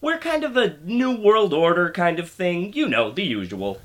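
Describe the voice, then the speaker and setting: Grandiose mad scientist, wildly swooping voice. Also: male voice, sits high, very animated, normal speed. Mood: awkwardly welcoming. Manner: theatrical, pompous, exasperated. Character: grandiose, petulant male mad scientist supervillain. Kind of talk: comic radio play acting.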